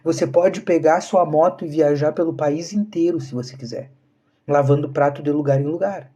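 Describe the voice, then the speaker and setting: A man's voice: on the high side, normal speed, warm tone, dull audio. A man, talking earnestly to viewers on a live stream and asking rhetorical questions. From southern Brazil. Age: 30s. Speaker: spiritual channel host.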